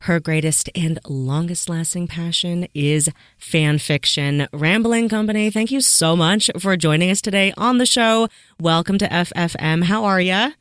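Woman, podcast host, chatty, enthusiastic, laughs often, lowish voice, dry recording. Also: measured pace, no room sound. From American Southwest. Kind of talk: casual interview.

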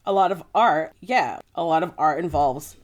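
A woman's voice: deep voice, moderate pace, muffled recording. A woman co-hosting a podcast in a casual book discussion, speaking informally and saying "like" a lot. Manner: informal, heavy use of "like". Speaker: podcast co-host.

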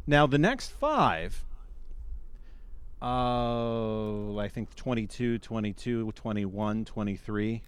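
A man co-hosting a podcast, answering in a casual, tongue-in-cheek way with deliberate, mock-diplomatic wording. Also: on the low side, animated, clear, resonant tone. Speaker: podcast co-host.

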